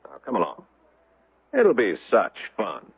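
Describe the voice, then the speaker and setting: An actor playing a young, pompous, pleasure-loving Roman lord in a 1970s radio drama, florid and imperious. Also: male voice, fairly low pitch, huge pitch swings, a little slow, husky, phone-quality sound, full character voice. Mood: excited, gushing. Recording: thin vintage radio broadcast.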